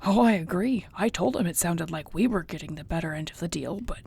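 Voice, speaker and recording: A husky, gravelly orc warrior girlfriend acted by a woman, low in pitch. She is gentle and content, talking softly and unhurriedly to her beloved in an intimate audio roleplay, often close to a whisper. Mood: warm, hopeful. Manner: soft, tender, unhurried, often near a whisper.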